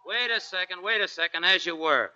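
An actor playing a cocky, clever, defiant seaman in a 1940s radio drama, with an insolent manner. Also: sits high. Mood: urgent. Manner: fast-talking, insolent, sharp. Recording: dull 1940s radio broadcast recording.

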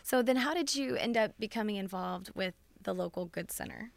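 A woman's voice: mid-range voice, a little fast, gravelly, dry recording. Woman from texas, podcast host, upbeat casual chat, dry close sound.

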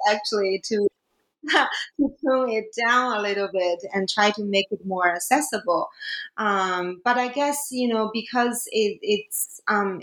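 Non-native woman author, halting and slow, roomy echo. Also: medium pitch, fairly bright tone. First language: not English. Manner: hesitant, many fillers and restarts. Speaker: author, guest. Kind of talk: academic interview.

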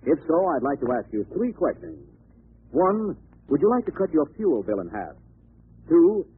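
Tinny old-time radio pitchman. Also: husky. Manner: formal, measured salesmanship. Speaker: radio commercial announcer. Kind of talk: scripted 1940s radio advertising.

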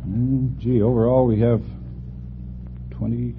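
A man's voice: mid-range voice, little pitch movement, takes it slowly. A man giving short, unscripted answers as a quiz show contestant. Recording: noisy, tinny old radio recording.

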